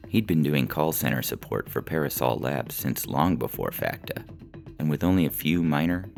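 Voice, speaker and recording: A man with an extremely deep voice narrates comic fiction. His delivery is deadpan and scripted, heavy with gravelly creak. Music plays clearly underneath. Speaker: story narrator.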